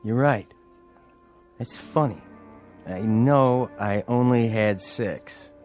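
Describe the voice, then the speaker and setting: An actor playing a plain-spoken, puzzled bus driver with a folksy, matter-of-fact manner in an audio drama. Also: male voice, medium pitch, slow pace, darkish tone, soft background music, lightly acted.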